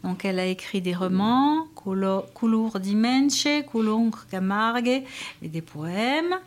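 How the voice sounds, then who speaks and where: A woman presenting a radio segment, working through a list of writers in a measured way with frequent hesitations. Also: mid-range voice, lively intonation. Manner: measured, listing names with hesitations.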